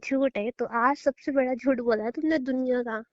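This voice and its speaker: A woman's voice: high voice, normal speed. A young woman bantering playfully with her boyfriend in a casual phone call. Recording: dull lo-fi call recording.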